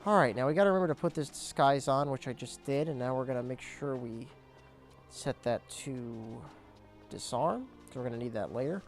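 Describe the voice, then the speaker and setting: A young man casually commentating his gameplay, explaining objectives as he goes, his voice cracking and squeaking now and then. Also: moderate pace, faint music behind. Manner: chatty, explains objectives as he plays. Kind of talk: casual let's play commentary.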